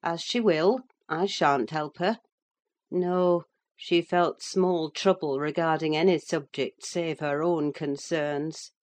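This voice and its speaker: Woman acting sharp-tongued female servant, unhurried, lowish voice, dry, sardonic, stern, sententious, audiobook character. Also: fairly even intonation. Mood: cool, disapproving. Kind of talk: dramatised audiobook reading of a classic novel.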